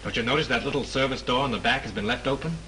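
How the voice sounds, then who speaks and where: A man playing a bright-voiced young sleuth. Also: mid-range voice, lightly acted, rough recording. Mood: curious. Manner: brisk, leading and instructive. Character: sharp young male screenwriter sleuth. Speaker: amateur sleuth in a 1930s film. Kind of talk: 1930s film acting. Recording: hissy old 1930s film soundtrack.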